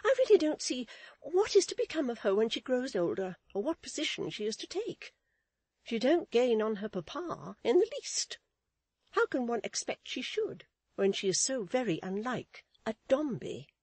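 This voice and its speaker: A high-pitched, swooping society matron from a Victorian novel. She is pompous and fretful, declaiming each point emphatically and lamenting with great self-pity. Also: very animated, moderate pace, a little mellow, husky, dry recording, full character voice. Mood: fretful disdain. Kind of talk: dramatized Victorian novel reading.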